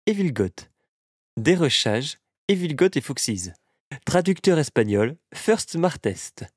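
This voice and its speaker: A man announces scripted credits in a medium-pitched voice. His intonation is very lively, and the close recording is dry, with no room sound. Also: no echo.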